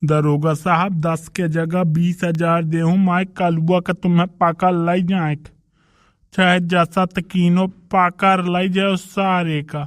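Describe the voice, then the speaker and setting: A man's voice: sits high, level tone, slow pace, no room sound, studio quality. A man voicing a pompous, bossy older village headman in a cartoon comedy, with a Bundelkhandi accent. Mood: threatening. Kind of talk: rustic cartoon comedy dialogue.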